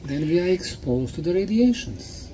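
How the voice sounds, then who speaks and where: Slow, very mellow male lecturer. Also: high voice, expressive, slight background noise, poor audio. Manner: deliberate, emphatic, didactic. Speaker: lecturer and guide. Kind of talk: lecture in short phrases pausing for an interpreter.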